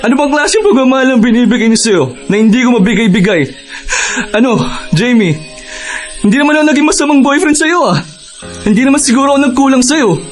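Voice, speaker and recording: A man's voice: very high voice, expressive, quick pace, soft background music. A man acting as a heartbroken young boyfriend in a Filipino radio drama, pleading emotionally with his ex-girlfriend. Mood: desperate, pleading.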